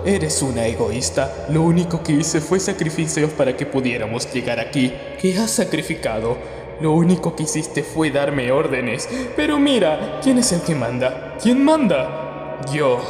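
A man voicing an excitable, boastful, dim little robot core in a Spanish fan dub of a video game, chatty and power-drunk. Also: on the high side, a little slow. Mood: resentful. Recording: echoey reverberant hall, music behind.

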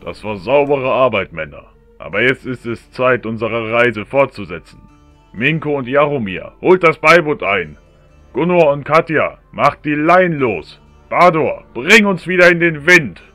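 A man's voice: medium pitch, animated, a little slow, bright, forceful tone, no room sound, faint music behind. A man playing a commanding ship captain in a fantasy audio drama, giving authoritative orders.

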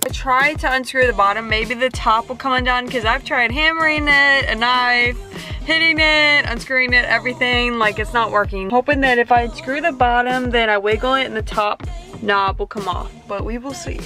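A woman's voice: on the high side, fairly even intonation, normal speed, music in the background. A woman in her twenties chatting casually to camera as she fixes up a camper van, talking while she works. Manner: chatty, talks straight to camera while working. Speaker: vlogger. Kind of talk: casual how-to vlog.

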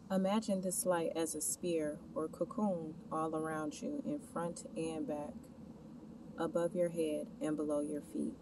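A woman with a notably low voice narrates a guided meditation. She speaks in scripted, instructional phrases addressed straight to the listener. The mic sounds distant, with clear background noise around her. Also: level tone, measured pace. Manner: instructional, addressing the listener with gentle imperatives and questions.

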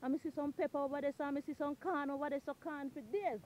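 A Jamaican woman vlogging on a farm, chatting in a lively, exclaiming way while filming on a handheld phone outdoors. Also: sits high, fast, a little hiss or hum. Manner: lively, exclaiming, repeats catchphrases. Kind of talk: casual farm tour chat. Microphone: handheld phone camera.

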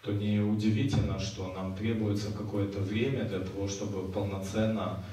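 A man's voice: fairly low pitch, little pitch movement, normal speed, dark tone, distant mic, a little hiss or hum. A young man working as an interpreter, speaking after each phrase with hesitant fillers, in a large festival tent. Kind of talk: consecutive interpreting. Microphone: stand mic. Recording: echoey hall, heard from afar.

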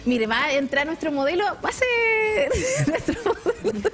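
High-voiced Chilean woman hosting live TV. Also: big pitch swings, unhurried, soft background music. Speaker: TV host. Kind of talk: live talk show presenting. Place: TV studio.

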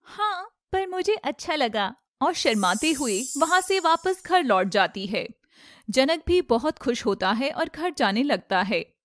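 A woman reading scripted narration as the storyteller of an animated fairy tale. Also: sits high, measured pace, no echo, studio quality.

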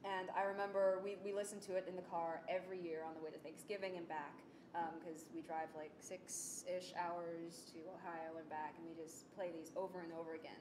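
Young female presenter, informal and animated, self-effacing; echoey room sound. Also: a little fast. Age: young adult. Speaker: student presenter, audio drama writer. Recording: echoey room with clear rumbling noise.

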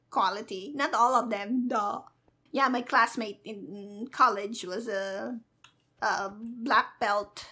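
A woman doing casual, playful gameplay commentary as a streamer, with a giggly, animated, sassy manner. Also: a little high. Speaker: gaming streamer.

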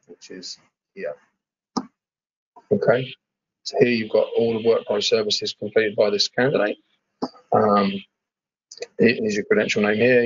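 Husky low-voiced man presenting a demo. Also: no room sound, muffled recording. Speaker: webinar presenter. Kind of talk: informal software demo walkthrough. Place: screen-share webinar voice-over.

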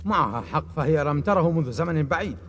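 A man's voice: on the high side, expressive, fast talker, a bit bright, a little hiss or hum. An actor playing a cocky, suspicious male soldier in a dubbed action cartoon, brusque and instructing. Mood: confident, wary. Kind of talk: animated action series dub.

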